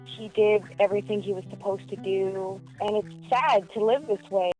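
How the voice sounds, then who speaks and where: A woman's voice: medium pitch, normal speed, dark tone, faint music behind, poor audio. A woman being interviewed, giving a personal account.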